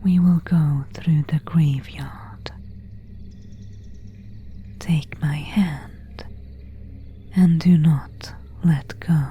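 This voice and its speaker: Lady acting out a courteous but menacing vampire woman, asmr roleplay, super slow dark breathy whisper. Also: low voice, steady tone, a little hiss or hum. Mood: quietly commanding. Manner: slow, formal, old-fashioned phrasing. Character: seductive, predatory female vampire, courteous and menacing.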